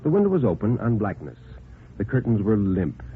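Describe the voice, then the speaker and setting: A man narrating a story as a 1950s radio storyteller, with theatrical, suspenseful, deliberate phrasing. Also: on the low side, very animated, faint music behind. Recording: tinny band-limited vintage radio recording.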